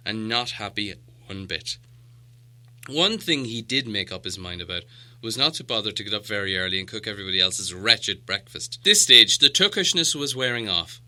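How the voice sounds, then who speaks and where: Bright, forceful male storybook narrator. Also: on the low side. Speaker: audiobook narrator.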